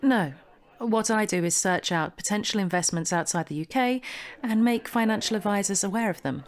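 A woman acts out a polished young finance professional in an audio play. Her voice is mid-pitched, and she talks with poise. She sounds evasive and a touch condescending. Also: normal speed, lightly acted. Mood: smoothly confident. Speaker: actor in an audio drama. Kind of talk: naturalistic audio drama acting.

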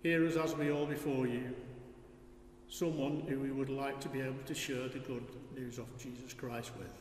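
A man's voice: medium pitch, level tone, normal speed, a little mellow. Older man praying, distant and echoey. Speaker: lay reader of prayers. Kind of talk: read intercessory prayers. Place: large stone church, lectern. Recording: distant, echoey church, faint noise.

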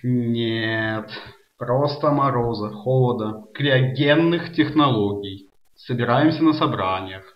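A man's voice: mid-range voice, slow, natural voice. A man playing an earnest, sanctimonious cult follower as a preachy guest in a comedy radio sketch. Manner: solemn, preachy. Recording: roomy, dull lo-fi sound.

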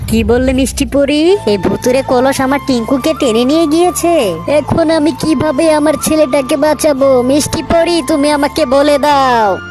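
A woman's voice: high-pitched, normal speed, music in the background, lightly acted. A woman voicing an anxious, imploring mother bird in a children's cartoon. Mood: worried. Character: anxious mother bird seeking help for her son.

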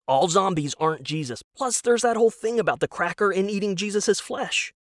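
A man acts out a petulant young husband in an audio drama. He sounds whiny and defensive, stammering through his arguments in a raised pitch, recorded dry and close. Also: a little fast, no echo, lightly acted. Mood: defensive, explaining.

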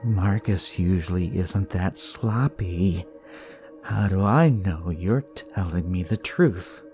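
A man voicing a giddy, sinister teenage boy cultist in an excitable manner for an audio story. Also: low voice, slow, faint music behind. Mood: suspicious. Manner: excitable, salivating.

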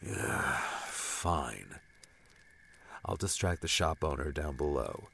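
A man's voice: extremely low pitch, animated, slow, vocal fry, bright, forceful tone, husky, voice pushed down, heavily acted. A man voicing an impatient, bossy, scheming male adventurer in an audiobook.

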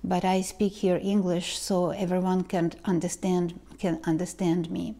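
A woman, talking slowly to camera as a tarot reader, pausing and restarting often. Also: fairly low pitch, flat delivery, warm tone. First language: Ukrainian or Russian. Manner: slow, halting, many restarts and ums. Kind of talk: casual vlog talk to camera. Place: living room indoors. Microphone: lapel mic.

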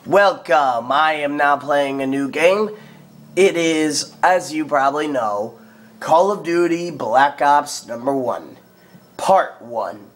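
Hoarse man, coughing, casual game commentary, slow, slightly high voice. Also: animated. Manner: rambling, casual. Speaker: gaming video host. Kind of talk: let's play commentary.

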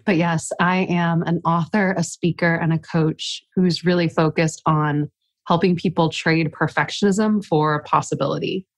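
A woman's voice: deep voice, steady tone, moderate pace, dry recording. A woman author and coach, slightly stuffy from a cold, telling her story earnestly as a podcast guest. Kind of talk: casual podcast interview.

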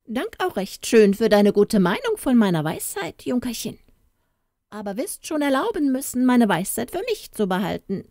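A squeaky, high little church goblin, as a woman reading aloud puts him on. His pitch jumps all over as he taunts and whines, and the recording is close and dry. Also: very animated, normal speed, darkish tone, dry recording. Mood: mocking. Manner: squeaky and mocking, later whining. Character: small male church goblin, cheeky then wailing. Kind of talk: storybook character voice.

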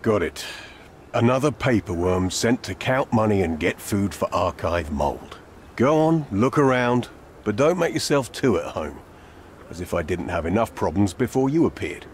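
Older game cop, lowish gruff voice, cynical, blunt, faint noise behind. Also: male voice, very animated, normal speed. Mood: irritated, sardonic. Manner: blunt, sardonic. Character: gruff older police inspector, cynical, irritable. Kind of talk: video game cutscene acting.

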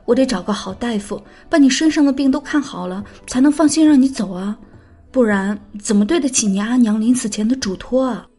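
A woman's voice: on the high side, fairly quick, warm tone, husky. An actress playing a spirited, blunt but kind-hearted young woman in an audio drama, playfully scolding. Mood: concerned. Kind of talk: audio drama character acting.

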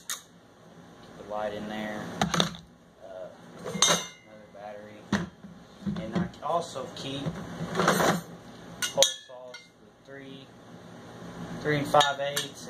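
A plain-spoken man giving a casual, halting walkthrough of his tools as a working plumber. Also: medium pitch. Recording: clearly noisy home video, steady hum.

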